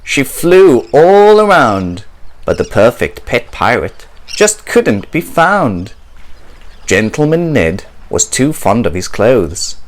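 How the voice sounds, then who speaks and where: A man reading a children's picture book aloud as a storyteller, in a theatrical, expressive way. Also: medium pitch, slow.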